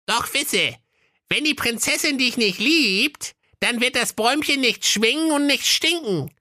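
A man's voice: very high-pitched, lively intonation, a little slow, bright, forceful tone, no room sound, studio quality, voice pushed up, full character voice. A man voicing a grumpy, sly evil little male dwarf in a comic fairy tale, grumbling and scheming.

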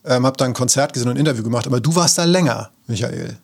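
A man's voice: medium pitch, very fast. A man from Germany co-hosting a casual travel chat, telling stories in a chatty, colloquial way. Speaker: podcast co-host.

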